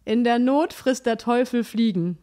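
A woman's voice: a little high, normal speed, warm tone. German woman chatting on a podcast. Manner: playful, laughs often, quizzes her partner. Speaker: podcast co-host. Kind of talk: casual chat.

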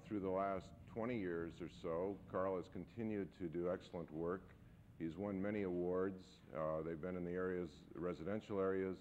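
A man in his forties hosting an architecture lecture series, introducing the evening's guest in a measured, halting way with frequent pauses. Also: deep voice, a little mellow. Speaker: architecture school host introducing a guest speaker. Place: lecture hall. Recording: dull, faintly noisy 1970s videotape.

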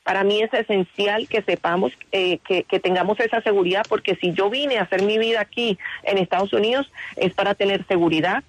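A woman's voice: mid-range voice, brisk, hardly any pauses. A woman answering a radio interview with earnest insistence and frequent restarts. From Colombia. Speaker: interview guest.

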